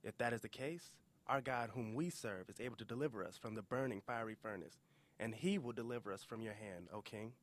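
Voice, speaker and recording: A man's voice: on the low side, fairly quick, pitched above the performer's own voice, close to an everyday voice. A man playing a steadfast young Hebrew defying a king in a dramatic scripture audio reading, speaking firmly and formally. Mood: firm defiance.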